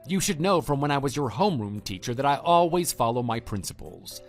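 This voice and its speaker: Man playing a measured, principled teacher over music. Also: medium pitch, expressive, lightly acted. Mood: firm, reproving. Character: stern, principled male former homeroom teacher, calm and reproving. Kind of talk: scripted audio drama dialogue and narration. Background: background music.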